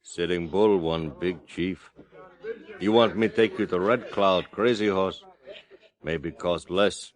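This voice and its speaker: A sly frontier interpreter. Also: male voice, deep voice. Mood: cagey. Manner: clipped broken speech, slow and cagey. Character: sly male half-breed frontier interpreter. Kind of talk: 1950s radio drama acting.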